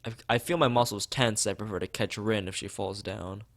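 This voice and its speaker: A man's voice: fairly low pitch, fairly even intonation, brisk, fairly bright tone. A man reading a visual novel aloud as a let's play host, stumbling over words and rereading them, with his own side comments.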